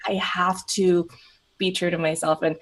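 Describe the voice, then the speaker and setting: A woman from Peru, a coach for moms, speaking as an interview guest in an earnest, reflective way with many halting restarts. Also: on the low side, little pitch movement, fairly bright tone, poor audio. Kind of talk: casual interview chat.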